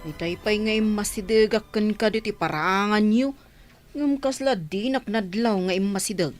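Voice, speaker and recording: A woman's voice: medium pitch, normal speed, faint music behind, lightly acted. A woman playing a nosy, frank and teasing village friend in a radio drama. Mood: teasing, curious.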